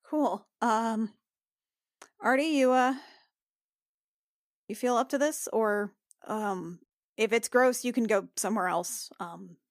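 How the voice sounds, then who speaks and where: A woman's voice: a little high, no room sound, clean studio sound. Woman playing a flustered, apologetic young noblewoman healer. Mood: awkward, concerned. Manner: halting, awkward, apologetic.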